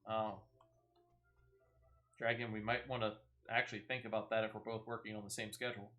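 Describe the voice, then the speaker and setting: A man's voice: on the low side, little pitch movement, a little fast, fairly bright tone, lo-fi sound. A man giving casual, rambling, playful gameplay commentary as a video game streamer.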